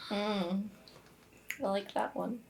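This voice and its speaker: A woman's voice: mid-range voice, steady tone, slow pace, gravelly, a little mellow. A woman speaking casually as a player in a tabletop game, improvising her character's words and actions. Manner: informal, conversational.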